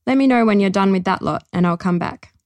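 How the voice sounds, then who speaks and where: A woman reading the part of a young woman who is teasing and excited, her voice pitched well above her own. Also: a little fast, no room sound, lightly acted. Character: lively young woman, cheerful book lover.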